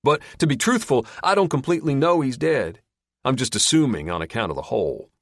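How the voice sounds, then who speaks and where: A man putting on a taunting backwoods male captor, folksy, rambling, lively delivery. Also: medium pitch, fairly quick, no room sound, clean studio sound, pitched above the performer's own voice. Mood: mocking.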